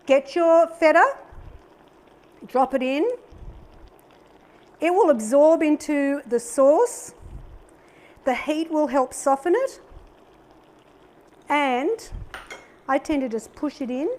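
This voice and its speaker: A middle-aged woman with an Australian accent, hosting a live cooking demonstration. Her voice sits high and she talks in a bubbly, chatty, upbeat way, full of little exclamations as she cooks. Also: lively intonation, slow pace, pauses often, a little mellow. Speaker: cooking show host.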